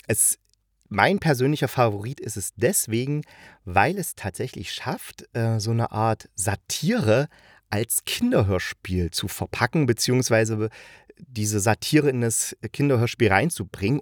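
A man's voice: medium pitch, no echo, clean studio sound. A man from eastern Germany hosting a review, talking in a casual, chatty and enthusiastic way. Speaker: audio drama review host.

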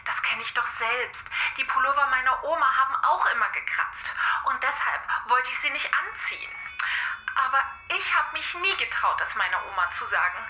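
A cheerful mother, acted by a woman, very bright and high, heard on an echoey speakerphone. She sounds grateful, proud and confiding, as in a children's radio play, with faint music behind. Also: animated, moderate pace, exaggerated voice. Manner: warm-hearted, confiding. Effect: speakerphone caller. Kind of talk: children's audio play acting.

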